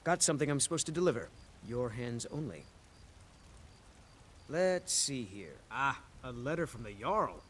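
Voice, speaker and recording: Courier for a fantasy game: brisk, businesslike, medium pitch, faint background noise. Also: male voice, very animated, a bit bright. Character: brisk male courier delivering letters by hand. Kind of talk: video game character dialogue.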